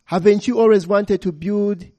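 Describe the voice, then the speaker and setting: A man voicing the playful, wise spirit of a small girl, speaking gently and earnestly. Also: high voice, fairly even intonation, moderate pace, voice pushed up, close to an everyday voice. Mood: gently chiding.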